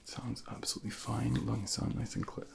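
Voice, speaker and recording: A man speaking softly and breathily in an ASMR medical role play as a junior doctor, gently reassuring and giving step-by-step instructions into a close binaural microphone. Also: lowish voice, flat delivery, brisk, noisy background. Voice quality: breathy, whispery, with heavy creak.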